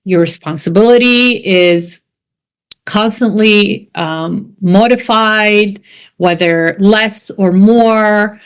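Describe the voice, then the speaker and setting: A middle-aged woman from Jordan, hosting a podcast interview in an unhurried, emphatic way that repeats key words. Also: medium pitch, clean tone. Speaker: podcast host. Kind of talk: casual interview chat.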